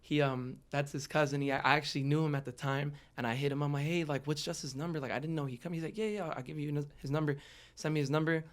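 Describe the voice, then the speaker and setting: Clear-voiced young Southern California man. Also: on the high side, steady tone, quick pace. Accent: Southern California American. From Los Angeles area, United States. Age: young adult. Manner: open, informal, slangy. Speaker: podcast guest, rapper. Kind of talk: casual personal interview storytelling.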